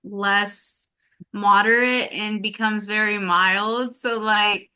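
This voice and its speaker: A woman's voice: medium pitch, steady tone, slow pace, sharp tone, clear, resonant tone. A woman who stutters, with sound repetitions and lots of filler ums, talking casually as an interview guest. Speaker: podcast guest.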